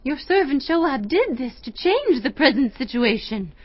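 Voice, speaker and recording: A woman's voice: sits high, big pitch swings, unhurried, dry recording, dull audio, heavily acted. An actress playing an anguished, humble widow pleading formally with a king in a dramatized audio Bible. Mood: earnest, humble. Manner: formal, beseeching, emotional.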